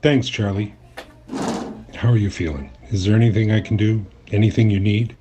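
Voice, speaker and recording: An actor playing an earnest, curious journalist in an audio drama, speaking and narrating in a conversational, mildly skeptical way. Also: male voice, on the low side, steady tone, moderate pace, highs cut off, noisy background, close to an everyday voice. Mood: polite, concerned. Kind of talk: audio drama acting with first-person narration.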